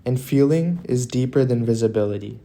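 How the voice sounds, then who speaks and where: A man narrating a scripted motivational monologue in an earnest, deliberate way, leaving dramatic pauses between phrases. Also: mid-range voice, creaky, dark tone.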